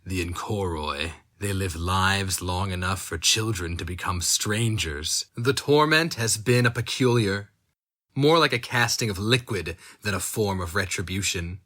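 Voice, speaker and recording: A man giving a dramatic reading of dark fiction, slow and intense, rising into high, strained outcries at moments. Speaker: narrator.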